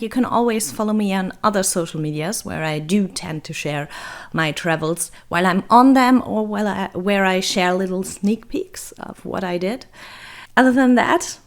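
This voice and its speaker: A young woman from Germany with a hoarse, raspy voice and vocal fry, chatting through a travel vlog voice-over in a rambling, self-deprecating way. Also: mid-range voice. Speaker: travel vlogger. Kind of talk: voice-over narration.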